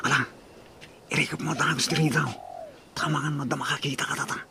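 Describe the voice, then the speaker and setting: A man's voice: fairly high pitch, level tone, fast talker, vocal fry, bright, forceful tone, slight background noise, heavily acted. A man playing a good-natured, lovestruck suitor in an Ilocano radio drama, speaking with an Ilocano accent. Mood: tender, coaxing.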